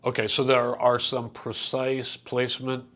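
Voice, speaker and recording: A man's voice: medium pitch, completely flat delivery, a little slow, a bit bright. A man conducting a casual product interview as a reviewer, methodically checking and repeating what he hears. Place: hi-fi trade show exhibit.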